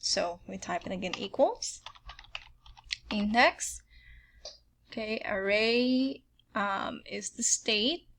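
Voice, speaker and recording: A woman with a Filipino accent gives a screen-recorded spreadsheet lesson, talking slowly and carefully. Her voice is bright and clear, and she checks in with "okay" between steps. Mouse clicks sound now and then. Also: medium pitch. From Philippines. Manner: step-by-step, frequent "okay" and "um", talks to the viewer. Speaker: spreadsheet tutorial host. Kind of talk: screen-recorded software tutorial.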